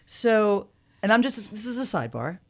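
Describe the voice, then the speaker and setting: A woman's voice: medium pitch, sing-song, moderate pace. A woman hosting a radio talk show in the studio, chatty and enthusiastic, often jumping in with questions.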